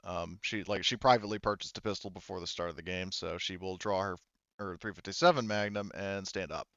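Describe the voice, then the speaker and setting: A man's voice: low-pitched, fairly even intonation, fairly quick, a bit bright, no echo, dull audio. A man running a tabletop game as game master, narrating casually and swearing freely.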